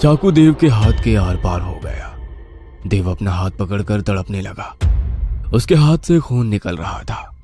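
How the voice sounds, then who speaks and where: Dark-toned man narrating horror. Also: fairly low pitch, expressive, measured pace, faint music behind. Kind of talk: scripted horror story narration in Hindi.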